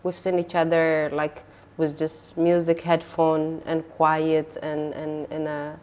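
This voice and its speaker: Low-voiced woman host. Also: completely flat delivery, slow pace, a little mellow. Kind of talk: casual interview chat.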